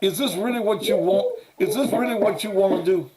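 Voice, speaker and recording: A man's voice: high-pitched, fairly quick, a loud crowd. A man hosting a call-in show, blunt and pressing, who repeats his questions until he gets answers. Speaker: talk show host. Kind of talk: call-in radio talk.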